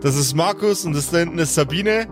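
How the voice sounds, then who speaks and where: A man's voice: a little high, a little fast, over music, close to an everyday voice. A man playing a polite young festivalgoer who keeps the peace in an improvised audio adventure. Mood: conciliatory.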